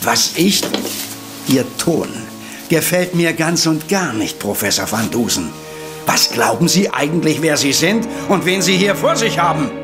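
A man playing an irritable, lazy, easily offended police inspector in an audio drama, gruff and blustering. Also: fairly high pitch, sing-song, unhurried, music in the background. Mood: outraged. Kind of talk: German audio drama acting.

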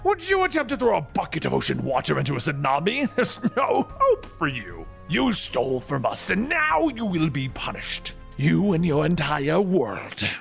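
A gloating otherworldly horror , as a man puts it on drawls each threat slowly, his gravelly voice swooping from low murmurs to sudden shouts. Soft music sits far beneath the storybook recap. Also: wildly swooping pitch, heavily acted. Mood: menacing. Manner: slow, gloating, menacing. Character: ancient mocking male horror from beyond.

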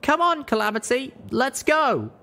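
A man voicing a plucky young female pony leader in an audiobook, calling out in a high, urgent voice. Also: big pitch swings, a little slow, full character voice. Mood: urgent shouting. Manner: high-pitched, urgent shouting. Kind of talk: dramatized audiobook character voice.

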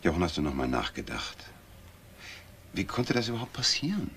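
A man's voice: low voice, big pitch swings, moderate pace, a bit bright, a little hiss or hum, full character voice. An actor playing a composed, practical, protective businessman brother in a German crime radio drama. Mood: concerned.

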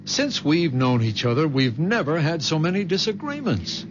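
A man's voice: on the high side, very animated, moderate pace. An actor playing an exasperated, anxious man pleading with his girlfriend in a 1970s radio drama, insistent and earnest. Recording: noisy old radio broadcast.

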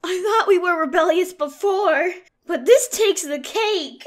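A woman playing an excitable, brisk teenage gang girl in a comic dub. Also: very high-pitched. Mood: excited.

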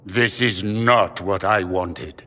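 An actor playing a middle-aged noble father in a video game, grave, formal and regretful, with a husky voice. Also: sits low, slow, sharp tone, full character voice. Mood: pleading regret. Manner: formal, restrained. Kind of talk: dramatic video game acting. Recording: long hall reverb.

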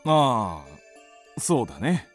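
Animated, easygoing family man. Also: medium pitch, takes it slowly, soft background music. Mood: hesitant, polite. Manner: light-hearted, reassuring. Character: easygoing, joking family man, husband and father. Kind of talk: radio drama acting.

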